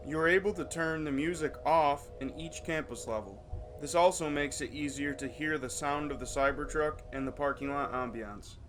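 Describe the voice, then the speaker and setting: Man narrating a demo over prominent music. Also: fairly high pitch. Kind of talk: scripted narration of a game demo.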